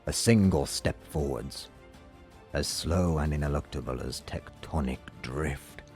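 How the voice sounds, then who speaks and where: A man narrating scripted fiction in a dramatic, solemn and measured way. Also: a bass voice, darkish tone. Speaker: audiobook narrator. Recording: music under the voice. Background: background music.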